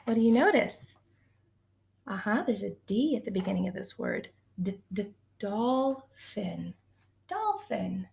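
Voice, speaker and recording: A woman's voice: medium pitch, sing-song, a little slow, creaky, a little mellow. A woman teaching young children in an encouraging, sing-song way, asking them questions as she counts, chants and tells simple stories.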